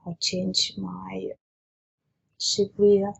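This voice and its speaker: A woman presenting a software webinar, explaining a step-by-step demonstration in a methodical way. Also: mid-range voice, completely flat delivery, drawn-out words, gravelly, a little hiss or hum, poor audio.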